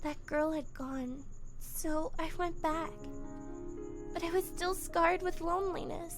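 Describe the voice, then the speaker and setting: A woman voicing a shy, lonely teenage girl in a visual novel, speaking in a quiet, hesitant way. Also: very high voice, slow. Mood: sad, withdrawn. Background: music playing behind the voice.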